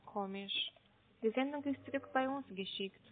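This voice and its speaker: A woman's voice: sits high, moderate pace. A woman call center agent making a sales phone call in German as a non-native speaker. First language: not German. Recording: muffled narrow phone line.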